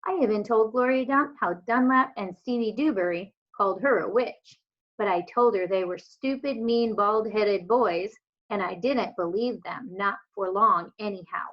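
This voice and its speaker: A woman's voice: mid-range voice, moderate pace. A woman, a teacher, reading a children's story aloud as narrator. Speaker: elementary school teacher. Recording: dull lo-fi home recording.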